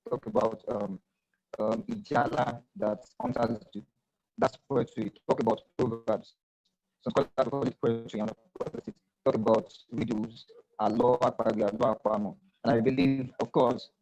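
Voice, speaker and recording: A man with a Nigerian accent speaking as a poet on a panel, explaining at a measured pace with many fillers and restarts. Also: mid-range voice, gravelly, darkish tone, rough recording. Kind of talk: panel discussion talk. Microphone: video call.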